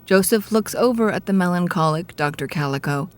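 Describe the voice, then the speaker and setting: A woman's voice: on the low side, animated, brisk, very noisy. A woman narrating a scripted audio drama in a mock-grand storybook manner.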